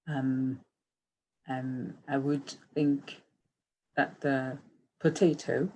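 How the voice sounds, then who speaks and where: A woman giving a brief, halting share on a video call. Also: rumbling low, flat delivery, mellow, a little hiss or hum, low-quality recording. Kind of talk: casual online group check-in.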